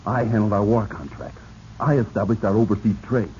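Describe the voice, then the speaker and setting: An actor playing a devoted, aggrieved husband with an earnest, intense manner in a 1940s radio drama. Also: male voice, lowish voice, steady tone, a little fast, mellow, natural voice. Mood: aggrieved, firm. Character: devoted, aggrieved husband, pressured company treasurer. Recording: muffled, noisy 1940s radio recording.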